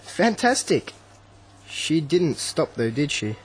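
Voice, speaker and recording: A shy low-voiced teenage boy. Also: sing-song, slow, exaggerated voice. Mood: wistful, playful. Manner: hesitant, reluctant, short answers. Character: shy, lovesick teenage boy, younger brother Chris. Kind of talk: radio drama acting for English learners.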